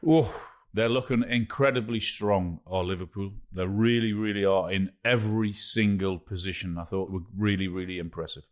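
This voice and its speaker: A man's voice: low-pitched, steady tone, normal speed. A man co-hosting a casual football podcast, teasing and emphatic. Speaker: co-host.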